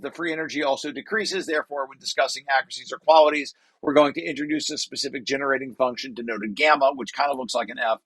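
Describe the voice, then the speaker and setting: A man presenting a technical paper, reading passages aloud and breaking off into informal, halting explanations with repeated restarts. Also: on the high side, fairly bright tone, dry recording, rough recording.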